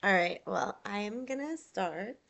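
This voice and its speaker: A woman yoga nidra instructor leading a guided meditation in a soothing, unhurried, gentle manner, leaving long pauses. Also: mid-range voice, animated, vocal fry.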